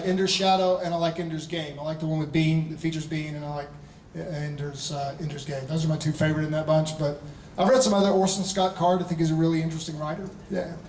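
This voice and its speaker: A man's voice: fairly high pitch, recorded from a distance, slight background noise. Man talking in an echoey convention hall. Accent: Charlotte, North Carolina. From North Carolina, US. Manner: animated storyteller, laughing, playful asides. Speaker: convention panel guest. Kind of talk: live convention Q&A.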